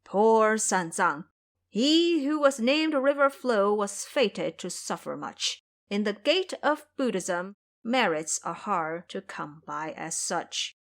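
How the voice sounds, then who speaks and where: A woman's voice: mid-range voice, slow pace. A woman narrating a fantasy adventure tale in a dramatic, formal storybook style. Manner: expressive, formal literary delivery.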